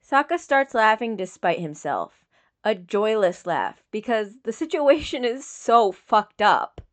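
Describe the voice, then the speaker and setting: Woman narrating fiction at medium pitch. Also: sing-song, a little slow. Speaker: audiobook narrator.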